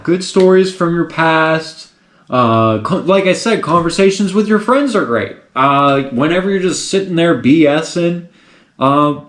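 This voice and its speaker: A man giving a casual how-to lesson on stand-up comedy, talking with lots of personality and big swings in pitch. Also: roomy sound. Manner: animated, swings pitch widely for effect. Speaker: comedy teacher.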